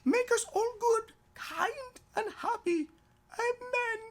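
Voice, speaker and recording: A woman voicing a small innocent girl in a high, chirpy, sometimes tearful childlike voice. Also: slow pace, exaggerated voice. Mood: earnest, tearful.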